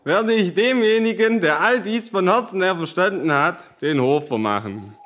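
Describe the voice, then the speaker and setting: Man as aging peasant father, slightly high voice, solemn, measured, fatherly, storybook audio drama. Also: expressive, fairly bright tone. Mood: solemn, caring. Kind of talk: audio fairy-tale acting.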